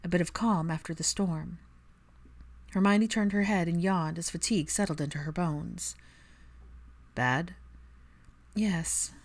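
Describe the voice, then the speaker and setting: Low-voiced woman narrating fiction. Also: fairly even intonation, normal speed, a little mellow. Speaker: audiobook narrator.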